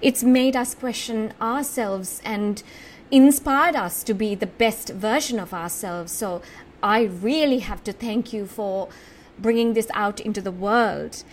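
A woman interviewer from Sri Lanka asking earnest, admiring questions in a measured way. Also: medium pitch, a little hiss or hum.

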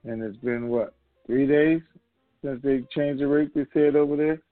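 A man's voice: mid-range voice, normal speed, dry recording, soft background music. A man hosting a conference call, talking to the group in a casual, rambling, folksy way. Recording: muffled, narrow-band phone conference line.

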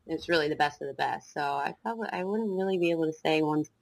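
Woman in her 20s, South Jersey origin, light Jersey accent, low voice, hesitant and casual, dry close recording. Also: fast, creaky, a little mellow, no echo. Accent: New Jersey. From South Jersey, USA. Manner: hesitant, many ums and restarts. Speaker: guest lacrosse coach. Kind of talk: casual interview chat.

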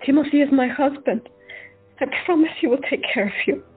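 A woman playing a soft-spoken, caring doctor. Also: high voice, brisk, close to an everyday voice. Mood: tender. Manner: soft, earnest, reassuring. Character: compassionate woman doctor comforting the dying. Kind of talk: amateur audio drama acting. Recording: tinny phone-like audio, faint music.

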